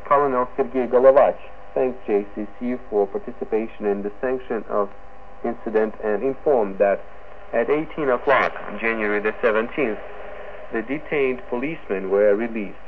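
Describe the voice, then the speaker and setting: Guy reading news on shortwave, formal and a bit halting, phone-like narrow sound w some static. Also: medium pitch. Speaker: radio news presenter. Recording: noisy, narrow-band shortwave radio broadcast.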